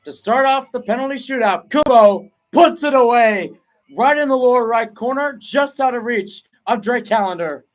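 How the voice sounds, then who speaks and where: A young man doing excited play-by-play commentary of a soccer penalty shootout, with his pitch and volume leaping at each kick. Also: normal speed. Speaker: radio play-by-play announcer. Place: at home, not at the stadium.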